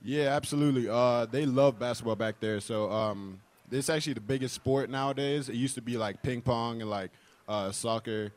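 A young man from Hong Kong, a college basketball player, answering questions as a guest in a relaxed, easygoing way. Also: mid-range voice, moderate pace. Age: young adult. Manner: relaxed, lots of "you know".

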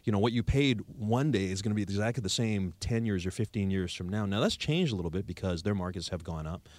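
A man's voice: fairly low pitch, animated, quick pace, a little mellow, dry recording. A man with a Canadian accent hosting a radio show in a conversational interview style. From Victoria, Canada.

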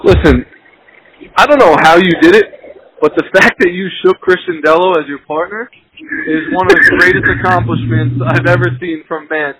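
A man's voice: high-pitched, normal speed, very noisy. A man chatting as a podcast guest in a blunt, combative, swearing style of friendly ribbing. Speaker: podcast guest, police officer. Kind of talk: profane bantering phone chat.

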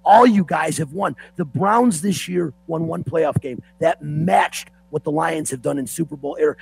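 A hoarse man hosting a sports talk show, opinionated and ranting like a fan. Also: on the high side, no room sound, rough recording.

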